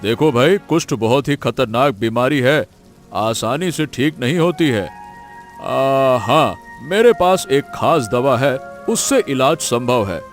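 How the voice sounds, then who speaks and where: A man's voice: mid-range voice, lively intonation, slow pace, faint music behind. A man voicing a callous, greedy village healer in a Hindi cartoon story, drawling and hemming with a dismissive sneer. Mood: brusque.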